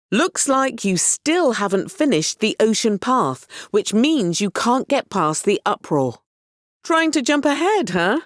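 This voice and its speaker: An actress playing an encouraging, wry mentor in a video game, expressive and instructive as she guides a young hero. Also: mid-range voice, no room sound, clean studio sound. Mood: wry, gently apologetic. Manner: expressive, instructive, gently apologetic. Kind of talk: scripted video game character lines.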